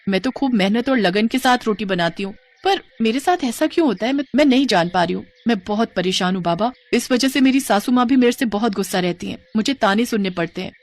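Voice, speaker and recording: A woman voicing a gentle, troubled young daughter-in-law in a moral story, speaking earnestly and respectfully. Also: a little high, lively intonation, brisk, hardly any pauses. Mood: distressed.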